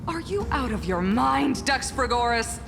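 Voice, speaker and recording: A woman's voice: a little high, lively intonation, measured pace, vocal fry. A woman playing a brash, mocking banquet guest in a fantasy game. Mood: scornful, tipsy. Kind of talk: scripted fantasy game acting. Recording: echoey hall, music and crowd behind.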